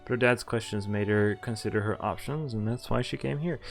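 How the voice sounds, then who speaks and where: A man's voice: fairly low pitch, a little fast, creaky. A man streaming a visual novel, reading its text aloud in an informal, jokey way and stumbling now and then as he adds casual asides. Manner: informal, jokey, stumbling over read lines. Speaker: video game streamer. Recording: music playing behind the voice. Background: game music.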